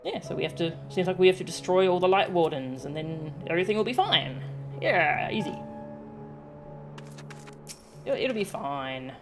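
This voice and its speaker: A woman's voice: fairly low pitch, lively intonation, normal speed, pauses often. A woman game streamer chatting casually with her viewers on a livestream. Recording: game music behind the voice. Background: video game music.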